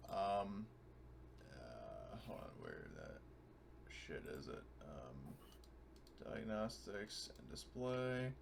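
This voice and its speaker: A man with a low, creaky voice talks through his moves as a tabletop game player. He speaks casually and haltingly, working ideas out aloud, with some faint noise behind him. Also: sing-song. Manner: hesitant, thinking aloud.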